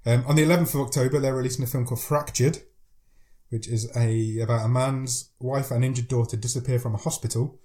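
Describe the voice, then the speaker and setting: A man's voice: mid-range voice, fairly even intonation, fast, dark tone. A man with a cold co-hosting a podcast, chatting casually and laughing often as he runs through news. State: has a cold. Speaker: podcast co-host. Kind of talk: casual chat.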